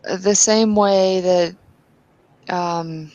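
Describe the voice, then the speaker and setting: A woman playing slow, earnest kinfolk believer. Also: fairly low pitch, fairly even intonation, a little mellow, dry recording, dull audio. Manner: hesitant, thinks aloud with fillers and restarts. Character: devout kinfolk woman of faith, earnest questioner. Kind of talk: tabletop roleplay in character.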